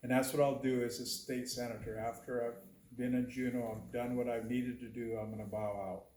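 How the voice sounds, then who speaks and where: A man's voice: medium pitch, level tone, normal speed, a little mellow. An older man running for state senate, giving an earnest, plain-spoken campaign speech at a community meeting and leaning hard on key words. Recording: echoey hall, faint background noise.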